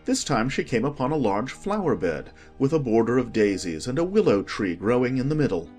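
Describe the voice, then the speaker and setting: Animated male storybook narrator. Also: medium pitch, brisk, soft background music. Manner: expressive storytelling. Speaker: audiobook narrator. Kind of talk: scripted children's story narration.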